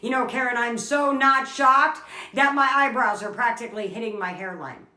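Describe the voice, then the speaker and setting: A woman's voice: on the high side, normal speed, pushed and bright, roomy sound. A woman playing an exasperated office coworker with dry, withering, articulate sarcasm in a comedy skit. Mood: weary sarcasm.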